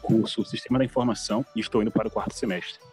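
A man's voice: fairly low pitch, fairly even intonation, rapid-fire, a little mellow, soft background music. A man, an intern chatting casually in an interview. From Salvador, Bahia, Brazil. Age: 20s. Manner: chatty, addresses listeners as "cara".

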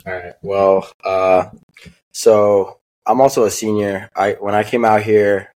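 A man's voice: on the low side, fairly even intonation, unhurried, darkish tone, poor audio. A man in his twenties from Staten Island, chatting casually as a guest, laid-back and rambling with lots of fillers. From Staten Island, New York. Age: 20s. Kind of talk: casual chat.

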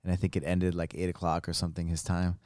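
A man's voice: low voice, monotone, fast talker, a little mellow, smooth, dry recording, studio quality. A man hosting a casual interview, enthusiastic, restarting his questions with lots of fillers. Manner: enthusiastic, repeats himself, many fillers. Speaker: interview host.

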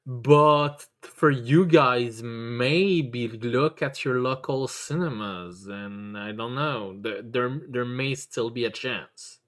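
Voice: mid-range voice, slow, few pauses, fairly bright tone. A podcast host chatting casually and enthusiastically, stammering and repeating words, with emphatic swearing. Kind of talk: casual chat, enthusiastic review.

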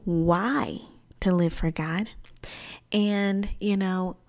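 Chatty young woman podcaster, slangy and lively. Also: lowish voice, drawn-out words. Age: 20s. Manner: chatty and animated, slangy with "child" and "y'all". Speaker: podcast host. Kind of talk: casual faith podcast talk.

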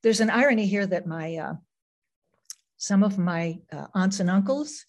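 A woman's voice: mid-range voice, lively intonation, normal speed. Woman author, native Greek speaker, reflective, on a video call, with lots of ums. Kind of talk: reading aloud, then talking about her writing.

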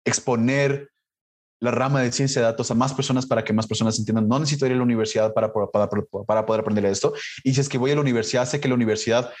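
A man from Latin America talking as an interview guest in a relaxed way, with many fillers and restarts. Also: mid-range voice. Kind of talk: casual interview talk.

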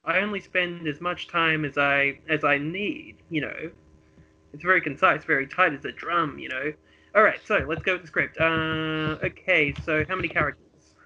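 A man's voice: a little high, fairly even intonation, normal speed, pushed and bright. A man chatting casually as a podcast co-host, with a wry, joking manner. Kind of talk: casual chat. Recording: slightly dull, lo-fi audio.

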